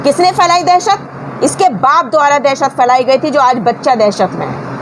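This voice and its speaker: A woman with a high voice delivers informal news commentary. She sounds indignant and scolding, leaning on emphatic rhetorical questions to make her points. There is clearly audible noise behind her. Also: lively intonation, normal speed. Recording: clearly noisy background.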